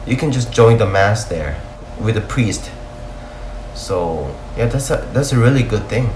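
A man's voice: fairly low pitch, expressive, measured pace, a little mellow. Young man with a Filipino English accent. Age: young adult. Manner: chatty, loose, with many fillers and restarts. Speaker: reaction video host. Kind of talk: casual reaction commentary. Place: small room indoors. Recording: roomy echo, faint hiss.

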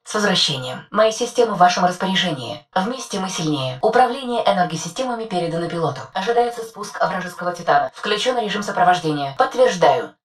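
Woman voicing a female combat robot AI, video game dub, dutiful, clipped formal reports, lower voice, voice set back from the mic. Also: expressive, fast, hardly any pauses, recorded from a distance, natural voice. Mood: calm. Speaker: voice actor.

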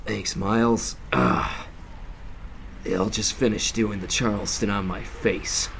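An actor playing a dry, defiant speakeasy owner in an old-time radio drama. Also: male voice, lowish voice, fairly even intonation, slow, gravelly, background noise. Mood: wry. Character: stubborn speakeasy owner, grown man, wry.

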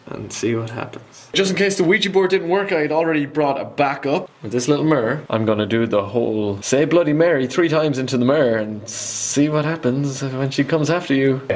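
A man's voice: mid-range voice, a little hiss or hum. A man in his twenties with an Irish accent, talking to camera in a casual, self-mocking adventure vlog. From Ireland. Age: 20s. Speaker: vlogger.

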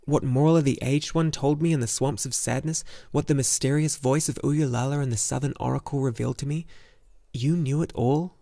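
A man's voice: mid-range voice, normal speed, mellow, voice pushed up, natural voice. A man voicing an earnest, bewildered young hero in a fantasy audiobook, speaking in an urgent, questioning, emphatic way. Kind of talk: fantasy audiobook character dialogue.

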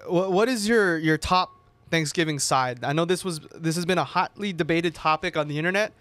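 A young man chats casually across the desk, his voice sitting a little high and coming through smooth and clean. The sound is dry and close on a studio boom mic. He rambles with fillers and repeats himself. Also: brisk, a bit bright, no room sound. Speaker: sports show co-host. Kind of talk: casual chat. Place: small TV studio, indoors. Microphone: desk mic on boom arm.

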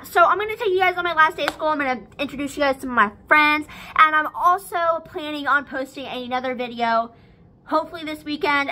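Teenage girl, vlogger, rambling casual chat, bright voice, slightly high pitch, living room. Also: a little hiss or hum. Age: teens. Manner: chatty, rambling, lots of fillers. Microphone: phone camera at arm's length.

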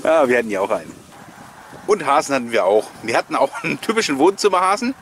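A man's voice: mid-range voice, very animated, fast talker, a bit bright. Man chatting informally over noticeable background noise. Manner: informal, rambling, often chuckling. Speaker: host of a collectors' nostalgia video channel. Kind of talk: chatty voice-over commentary with personal anecdotes.

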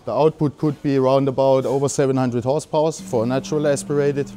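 A man's voice: medium pitch, level tone, fast talker, mellow. A man in his forties explaining engines to a group during a tour of an indoor workshop, in a plain, technical way. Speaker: engine builder.

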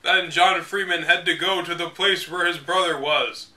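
A man's voice: high voice, steady tone, normal speed, bright, forceful tone, recorded from a distance, low-quality recording. A man hosting a read-aloud of a game guide, delivering it dramatically and breaking off for casual, sarcastic, exasperated asides. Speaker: host reading a game guide aloud with commentary.

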